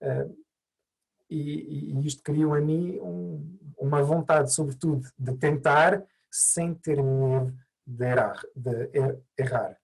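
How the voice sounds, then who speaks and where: A man's voice: fairly high pitch, takes it slowly, vocal fry, a little mellow, husky, low-quality recording. A man who learned Portuguese as an adult, by birth, answering interview questions thoughtfully with many hesitations and restarts. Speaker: mediator, interview guest.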